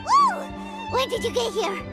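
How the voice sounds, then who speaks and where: Squeaky, childlike, cheeky fairy sidekick. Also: female voice, huge pitch swings, unhurried, full character voice. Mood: startled. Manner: exclamatory, blurts out blunt remarks. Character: tiny childlike fairy companion, excitable, cheeky and blunt. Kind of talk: anime-style video game voice acting. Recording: loud music behind the voice.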